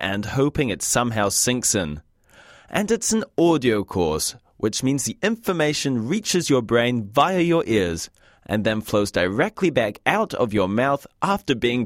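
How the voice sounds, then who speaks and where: A man's voice: mid-range voice, no echo, clean studio sound. A man from the UK hosting a scripted language course, with a wry, joking teacher's manner. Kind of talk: scripted lesson narration.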